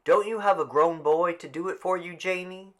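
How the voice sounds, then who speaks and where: A man voicing a brisk, formal village official calling out to people in an audiobook. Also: sits high, voice pushed up, close to an everyday voice. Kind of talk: audiobook character voice.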